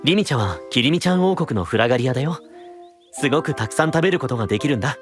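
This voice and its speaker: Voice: mid-range voice, expressive, racing, faint music behind, natural voice. An actor playing a kind, caring young male knight with a considerate, friendly manner in an anime-style voice drama. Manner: considerate, friendly, polite-casual.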